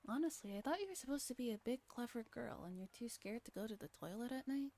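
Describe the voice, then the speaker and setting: A young male visual novel protagonist, played by a woman. He talks in a lowered, curt voice with a gruff, grudging grumble. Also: animated, quick pace, dark tone. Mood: impatient.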